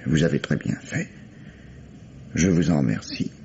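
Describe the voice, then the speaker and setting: Low-voiced, methodical police commissioner. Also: male voice, lively intonation, creaky, warm tone, heavily acted. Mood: courteous, firm. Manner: measured, probing, courteous but firm. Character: patient, methodical male police commissioner questioning witnesses. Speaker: actor in a radio mystery play. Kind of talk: French radio mystery drama acting. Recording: dull, noisy old radio recording.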